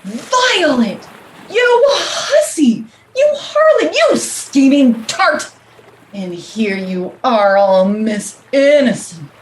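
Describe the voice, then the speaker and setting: A woman playing a jealous, betrayed lover in a radio play, shrill and melodramatic. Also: very animated, drawn-out words, heavily acted. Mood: outraged. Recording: roomy, clearly noisy.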